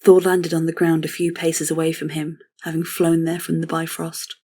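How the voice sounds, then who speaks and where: Casting: female narrator for fantasy audio drama; lowish, breathy, restrained and even delivery. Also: normal speed. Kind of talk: scripted dramatic story narration.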